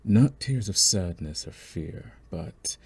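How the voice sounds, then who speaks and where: A man playing a young mafia heir in an intimate audio roleplay, smooth-spoken and deliberate, with a cunning, condescending streak beneath his courtesy. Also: low-pitched, lively intonation, a little mellow, full character voice. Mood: mocking, condescending. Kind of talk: intimate scripted audio roleplay, ASMR acting.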